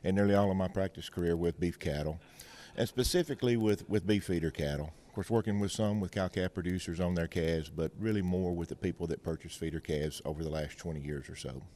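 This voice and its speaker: Deep-voiced Mississippi man, mellow and folksy. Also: a little fast, a little hiss or hum. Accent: Mississippi Southern American. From Mississippi, United States. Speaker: veterinarian, technical consultant, interview guest. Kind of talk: explanatory interview talk with anecdotes and analogies.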